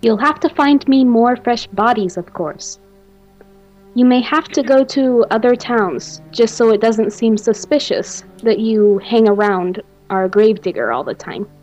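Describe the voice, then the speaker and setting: A woman's voice: on the high side, unhurried, darkish tone, natural voice, poor audio. A woman playing a commanding, composed mad scientist mistress in an amateur radio play. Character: commanding female mad scientist, devoted to undead lover. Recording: faint music behind the voice.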